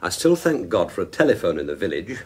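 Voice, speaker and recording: An actor with a British accent playing a wry, affectionate husband in a radio drama, dry and teasing. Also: male voice, low-pitched, very animated, fast talker, breathy edge, exaggerated voice. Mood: amused.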